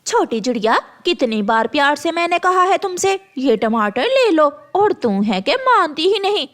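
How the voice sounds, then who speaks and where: A woman's voice: high voice, sing-song, normal speed, pitched above the performer's own voice. A woman voicing a bossy, pushy female crow who sells vegetables in a cartoon, scolding and domineering. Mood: angry, threatening.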